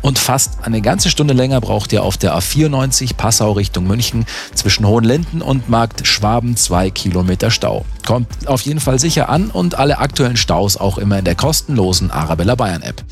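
Gravelly male traffic reporter. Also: on the low side, animated, faint music behind. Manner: brisk, friendly, addresses listeners directly.

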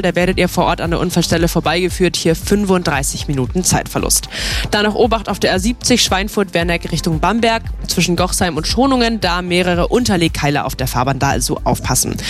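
A man giving a conversational traffic report as a radio presenter. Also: high voice. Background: music bed.

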